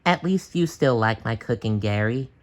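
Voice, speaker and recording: A man voicing an earnest, excitable young sea sponge fry cook, plaintive and drawn-out, in a cartoon read-aloud. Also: mid-range voice, lightly acted. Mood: wistful.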